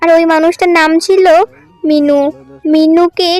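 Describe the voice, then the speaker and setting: A woman's voice: extremely high pitch, steady tone, a little slow, darkish tone, no room sound, soft background music. A woman reading scripted narration for a children's cartoon story.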